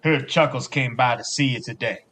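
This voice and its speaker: Man acting a husky, gruff police sergeant. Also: medium pitch, moderate pace, fairly bright tone, lightly acted. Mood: insistent, concerned. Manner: folksy, blunt, calls younger men kid and bub. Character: gruff, fatherly older police sergeant, blunt but caring. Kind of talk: audio drama acting.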